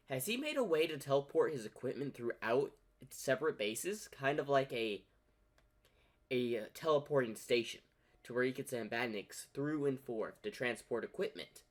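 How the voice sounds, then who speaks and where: A man reacts on camera in a casual, thinking-aloud way, floating theories as he goes. His voice moves lively across its range. He talks like someone chatting to his viewers. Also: fairly high pitch. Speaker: reaction video host.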